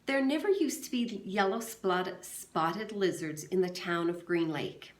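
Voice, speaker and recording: A woman's voice: lowish voice, unhurried. Teacher woman reading aloud, echoey room. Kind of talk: reading a novel aloud to a class.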